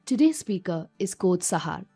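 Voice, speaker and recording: A woman's voice: fairly low pitch, very animated, moderate pace, dark tone, slightly rough, faint music behind. A woman hosting a podcast, reading a polished intro and then interviewing a guest. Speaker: podcast host, coach.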